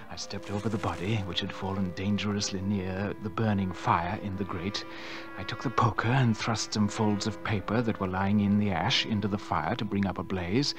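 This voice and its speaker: A man's voice: fairly low pitch, close to an everyday voice. Man acting a smug, scheming murderer nephew. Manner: confessional, theatrical delivery. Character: scheming, smug young nephew who murdered his aunt. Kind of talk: radio drama acting with first-person narration.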